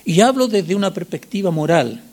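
A man in his sixties with a Chilean accent preaching a sermon, teaching didactically with emphatic repetition and short check questions, in a gravelly, husky voice. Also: high-pitched, lively intonation, brisk, darkish tone. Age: 60s. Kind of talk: sermon with Bible reading and commentary.

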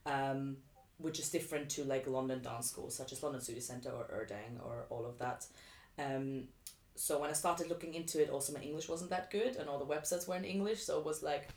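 Low woman, echoey room. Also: a little mellow. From Vienna, Austria. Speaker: interview guest, dancer. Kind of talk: casual chat, telling personal experiences. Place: living room.

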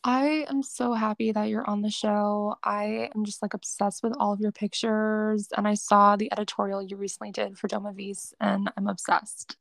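Young woman, podcast host, enthusiastic and gushing, gravelly edge, dry close sound. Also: medium pitch, level tone, normal speed, few pauses, no room sound. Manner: gushing, enthusiastic, filler-heavy. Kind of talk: casual interview chat.

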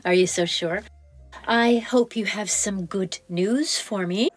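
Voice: mid-range voice, very animated, takes it slowly, dry recording, faint music behind. A performer playing a mocking, menacing underworld card-game boss in an audio drama. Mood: mocking menace.

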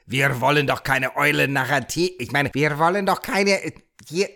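A man voicing a scheming, pompous snake in an audiobook, speaking slowly in a solemn, grandiose way. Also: a little high, creaky, bright, forceful tone, voice pushed up. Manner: solemn and grandiose, slow and insistent. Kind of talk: audiobook character voice.

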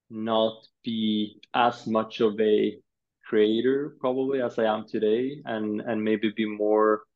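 A Swedish man in his thirties, a designer answering interview questions in a thoughtful, halting way, often repeating words. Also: fairly low pitch, creaky, phone-quality sound. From Sweden.

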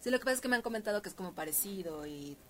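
A Mexican woman hosting a live interview show, chatty and quick with short interjections. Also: medium pitch, lively intonation, crowd noise. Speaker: radio show host.

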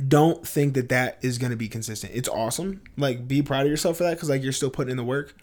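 A man's voice: medium pitch, fast talker, darkish tone. A man co-hosting a casual podcast, a fitness trainer who talks loosely with lots of fillers and swearing. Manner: casual, profane, filler-heavy. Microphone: studio mic at the table.

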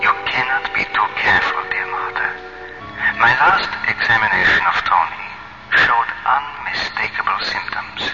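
An actor playing a grave doctor who reads out his letter in a measured, clinical way in a radio drama. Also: lowish voice, animated, over music, lightly acted. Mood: grave, cautionary. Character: grave doctor, confiding a patient's diagnosis by letter. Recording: muffled 1970s radio broadcast.

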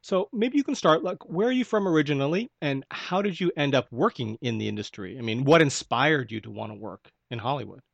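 A man's voice: mid-range voice, lively intonation, brisk, gravelly, hardly any pauses, no room sound. A man hosting a podcast, asking loose, conversational questions with fillers. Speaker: podcast host. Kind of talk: casual podcast interview. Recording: dry, slightly dull audio.

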